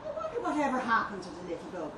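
A woman's voice: on the high side, huge pitch swings, racing. Folksy fond midwife played by a woman, echoey and distant. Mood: fond, wondering. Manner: dialect grammar, folksy, chatty. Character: fond, earthy older midwife, plain-spoken. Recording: distant echoey live venue, noisy room.

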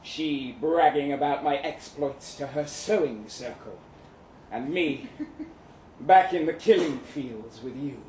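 A man's voice: mid-range voice, slow, a little mellow, lightly acted. A man performing a live dramatic reading as a bitter, witty crippled young Russian ex-soldier, in a theatrical, florid, wry manner. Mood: wry, rueful. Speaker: performer reading a short story aloud. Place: live event venue in London, indoors. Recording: roomy, distant live recording with noise.

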